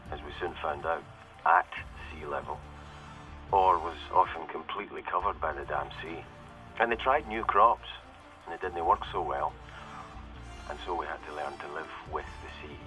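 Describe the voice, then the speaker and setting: A weary male security corporal from a video game, with an extremely low, forceful voice. He confides his survivor's story in a halting, rueful, cynical way, often correcting himself. Faint music plays behind him. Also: rough recording. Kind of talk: in-character audio diary monologue, dramatic game acting.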